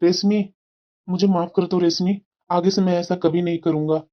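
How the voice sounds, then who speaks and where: A woman's voice: lowish voice, steady tone, normal speed, highs cut off, natural voice. A woman voicing an earnest, repentant young husband and son in a cartoon family drama. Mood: regretful. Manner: earnest, confessional.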